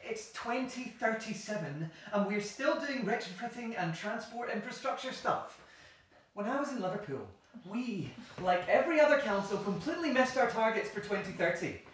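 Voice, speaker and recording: A woman's voice: a little high, lively intonation, normal speed, slight background noise, natural voice. A woman playing a disillusioned, radical-minded former council planner in a naturalistic audio play, intense and sceptical. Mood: frustrated, urgent. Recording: very echoey room, distant mic.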